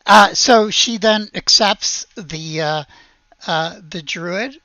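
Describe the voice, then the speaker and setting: A woman's voice: mid-range voice, slow pace, sharp tone, no room sound. Woman game master, hesitant improvised storytelling, very dry but slightly dull recording. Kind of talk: casual improvised narration of a tabletop game.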